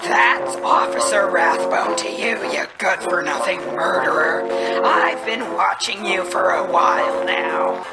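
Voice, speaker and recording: A woman playing a gloating, bullying police officer with a creaky voice and taunting drawl in a detective game. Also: fairly low pitch, big pitch swings, a little slow, exaggerated voice. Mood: menacing. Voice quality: gravelly, creaky. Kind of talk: musical detective game voice acting. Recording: music behind the voice. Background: loud music.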